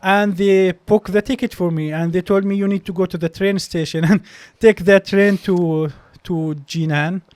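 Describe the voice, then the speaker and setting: A man's voice: sits high, fairly even intonation, normal speed, few pauses, a little mellow, clean tone, no echo. A young man with a Palestinian accent whose first language is Arabic, a doctor telling stories as a podcast guest, hesitant with many restarts. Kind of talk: casual interview storytelling.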